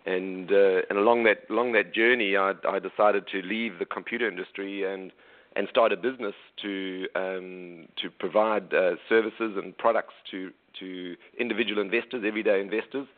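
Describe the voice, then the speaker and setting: A middle-aged South African man with a South African accent, an author and investment coach calling in by phone, talking in a halting way with many restarts. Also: low-pitched, steady tone. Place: calling from home in Australia. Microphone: telephone. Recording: tinny phone line.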